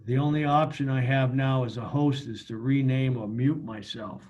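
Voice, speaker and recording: Male committee member, American, medium pitch. Explains plainly and haltingly, informal meeting register. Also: steady tone, a little mellow, lo-fi sound. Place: remote video meeting.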